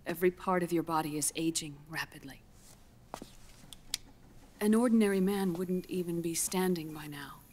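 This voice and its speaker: A woman playing a grave, earnest scientist in a video game cutscene, speaking in a measured, deliberate, clinical way with breathy pauses. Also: lowish voice, darkish tone, slight background noise, close to an everyday voice. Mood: somber, urgent. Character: grave, earnest female scientist explaining grim news gently.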